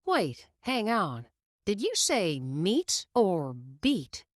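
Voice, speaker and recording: A woman voicing a friendly veteran male race car champion who talks slowly. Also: medium pitch, huge pitch swings, dry recording, clean studio sound, full character voice. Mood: puzzled.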